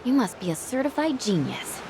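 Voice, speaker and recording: A woman's voice: a little high, big pitch swings, a little fast, background noise. A woman voicing a guarded young woman in a video game drama, sardonic and teasing, with a hesitant delivery. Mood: sarcastic, brisk. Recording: music behind the voice.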